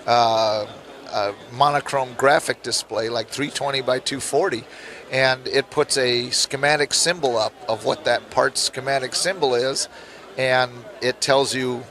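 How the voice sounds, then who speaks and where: A man with a rough throat, explaining things casually as an interview guest. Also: mid-range voice, fairly bright tone. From Nebraska, United States. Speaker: interview guest, electronics kit hobbyist.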